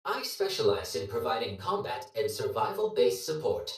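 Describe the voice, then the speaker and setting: A man's voice: medium pitch, normal speed. A man playing a literal-minded robot support companion in a video game, formal and clinical in wording. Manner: flat-worded, formal, literal. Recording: distant, heavily echoing voice.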